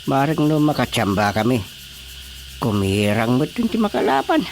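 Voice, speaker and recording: A man's voice: medium pitch, wildly swooping pitch, moderate pace, slight background noise. An actor playing a weary, principled older fisherman father in a radio drama, grumbling expressively. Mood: concerned, grumbling.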